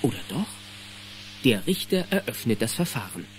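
A man's voice: mid-range voice, very animated, moderate pace. A man narrating a children's audio drama in a dramatic, playful scripted storytelling style. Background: faint music.

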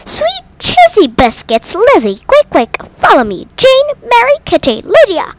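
A woman voicing an excitable, nervous mother mouse in a storybook, with shrill, dramatic exclamations. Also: unhurried, dry recording, exaggerated voice, low-quality recording. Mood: excited.

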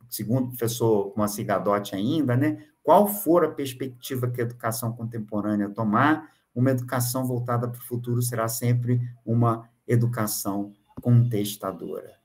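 Older man, online lecturer, middle-pitched, hesitant and filler-heavy, webcam audio. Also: normal speed, darkish tone. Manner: hesitant, many filler sounds and restarts. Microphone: video call webcam.

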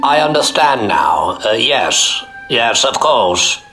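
An eerie impostor of a motel clerk in a radio play. He talks very slowly and stiffly, halting and empty, with a creaky edge to the voice. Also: medium pitch, sing-song, music in the background. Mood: blank, eerie. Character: eerie, vacant doppelganger of a male motel clerk. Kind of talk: radio drama acting.

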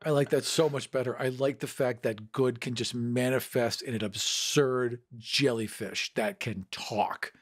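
Husky man chatting emphatically. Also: mid-range voice, fairly even intonation, a little slow, hardly any pauses. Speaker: podcast panelist. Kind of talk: casual chat.

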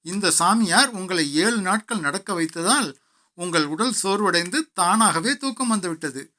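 A man's voice: high-pitched, sharp tone, heavily acted. A man voicing a shrewd, witty court jester with a confident, explanatory manner.

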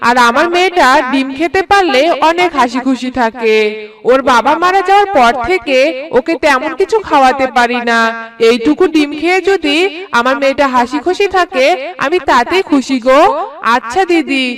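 Cartoon mother of a poor village household, played by a woman: high-pitched, tender, homely. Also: expressive, moderate pace, crowd noise. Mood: content. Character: gentle, loving widowed village mother. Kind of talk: cartoon voice acting.

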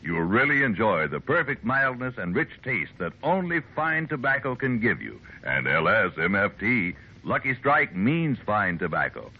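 Animated male radio announcer. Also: lowish voice, normal speed, breathy edge. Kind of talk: 1950s radio commercial announcing. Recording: muffled, faintly hissy 1950s radio recording.

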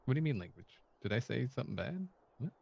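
Lively gravelly man gaming. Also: medium pitch, no echo. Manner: excitable, jokey, quick outbursts and laughs. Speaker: game streamer. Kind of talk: casual co-op gaming chat.

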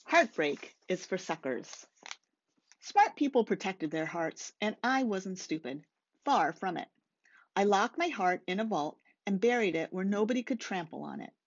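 A middle-aged woman reading a first-person novel aloud in a measured way, recorded indoors on a webcam. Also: mid-range voice, expressive. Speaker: author reading from own novel. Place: small room, indoors. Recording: slightly dull webcam audio.

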